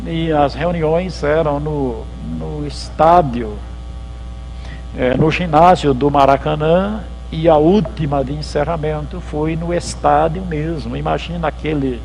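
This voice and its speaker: A pastor gives a history lecture in a loose, storytelling way, slipping into little acted exchanges between people. His voice sits a bit high for a man and has a gravelly edge. A faint mains hum runs under the recording. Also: takes it slowly, a little mellow, poor audio. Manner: conversational storytelling, acts out dialogues. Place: lecture hall at a theological symposium.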